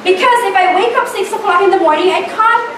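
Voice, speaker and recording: Very high-pitched woman preaching, echoey. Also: fairly bright tone. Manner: emphatic, deliberate repetition in short phrases. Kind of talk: informal church sermon with questions to the congregation. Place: church hall with stage, indoors. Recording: roomy echo, distant mic, faint noise.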